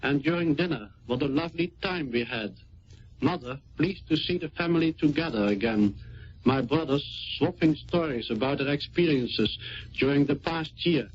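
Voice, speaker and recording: A man's voice: on the high side, expressive, normal speed. A Dutch man with a Dutch accent, a navy sailor reading a scripted wartime radio address in the 1940s. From Rotterdam, Netherlands. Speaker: sailor of the Royal Netherlands Navy. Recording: noisy muffled 1940s radio recording.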